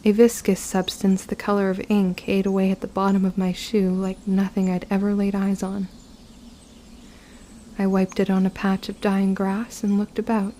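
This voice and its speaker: A woman's voice: medium pitch, monotone, moderate pace, warm tone, slight background noise, close to an everyday voice. A woman playing a guarded young witch who narrates her story with formal, old-fashioned phrasing in an audio drama. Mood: brooding, reflective. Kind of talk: scripted first-person audio drama narration.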